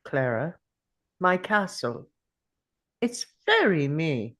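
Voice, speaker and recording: Guy acting a weary grieving time traveller in a drama read, low slow musing voice, lots of swoops. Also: sing-song, fairly bright tone, heavily acted. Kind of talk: script table read, introspective monologue.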